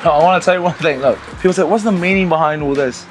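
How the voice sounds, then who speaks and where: A man's voice: on the high side, fast talker, a little hiss or hum. A man in his thirties with a New Zealand accent, chatting casually and jokily in slang as an interviewed barber. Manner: playful, slangy, with frequent "man" and "bro". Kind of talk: casual jokey chat. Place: indoors in a small convenience store. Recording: music playing behind the voice. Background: music.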